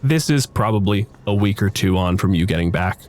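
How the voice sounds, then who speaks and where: A man's voice: deep voice, lively intonation, brisk, a little mellow. A man improvising tabletop game narration as game master in a casual, wry, conversational way.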